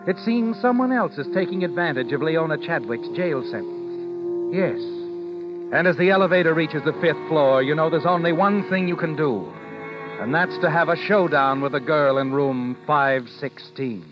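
A man acting as a knowing storyteller from an old radio thriller. His voice sits fairly high, taunting and insinuating as it addresses the heroine. The recording is muffled and old, with clear music behind him. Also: moderate pace, natural voice. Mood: insinuating, suspenseful. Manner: taunting, second-person storytelling. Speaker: narrator. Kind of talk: 1940s radio suspense narration.

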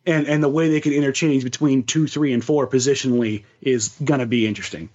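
A man's voice: medium pitch, steady tone, fast, darkish tone, lo-fi sound. An American man talking sports casually as a podcast co-host, emphatic and opinionated, repeating words for stress.

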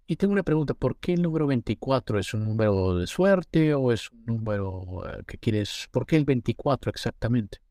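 A man's voice: medium pitch, sing-song, normal speed, hardly any pauses, mellow, dry recording, clean studio sound. A man hosting an interview, affirming his guest and asking friendly questions. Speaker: interviewer host. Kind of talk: casual interview.

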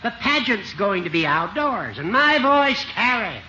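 An actor playing a boastful old small-town judge with a quavering, carrying voice, in vintage radio comedy style. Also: male voice, sits high, big pitch swings, measured pace, pushed and bright, heavily acted. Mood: boastful, needling. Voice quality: quavering, shivery voice that carries. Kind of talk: 1940s-50s radio comedy acting. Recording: dull, hissy old radio recording.

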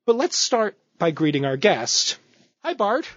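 A man hosting an academic podcast, reading a measured, lecture-style introduction and interviewing his guest. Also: sits high, very animated, a bit bright, no echo, muffled recording. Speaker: podcast host.

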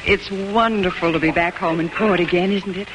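A woman's voice: on the low side, fairly even intonation, normal speed, music in the background, lightly acted. An actress playing a kind, motherly and concerned captain's wife in an old radio drama. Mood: reassuring. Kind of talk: 1940s radio acting. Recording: very noisy old radio recording.

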